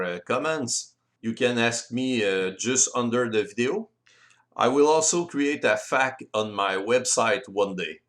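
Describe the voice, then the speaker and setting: Male game-rules presenter, native French speaker with French accent, explanatory delivery, slightly halting phrasing, mid-range voice. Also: medium pitch, a bit bright. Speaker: board game designer and presenter. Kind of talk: explanatory tutorial voice-over.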